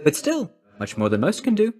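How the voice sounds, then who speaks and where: A breezy chummy young god of messages, game character, super animated up and down delivery, chatty salesman patter. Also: high-pitched, moderate pace, soft background music. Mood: impressed, teasing. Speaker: video game character voice actor.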